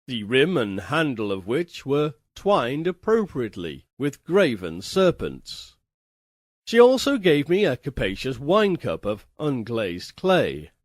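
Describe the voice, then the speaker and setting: A man reading a literary fantasy tale as dramatic narration, with a theatrical, deliberate and wryly formal delivery. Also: medium pitch, dry recording, studio quality. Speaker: audiobook reader.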